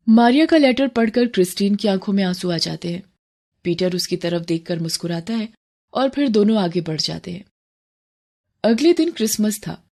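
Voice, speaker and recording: A woman's voice: medium pitch, warm tone. A woman narrating a scripted moral story for children as a storyteller.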